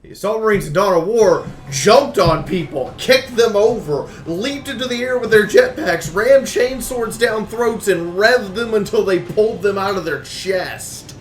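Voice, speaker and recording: A man's voice: high-pitched, measured pace, few pauses, roomy sound, soft background music. A man giving opinionated game review commentary, speaking bluntly and emphatically and repeating phrases for stress.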